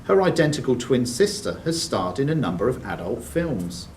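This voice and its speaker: A man's voice: medium pitch, sing-song, moderate pace. A man reading out quiz questions as quizmaster in a club room, with brief banter between scripted questions. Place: sports and social club room. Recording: distant room mic, faint background noise.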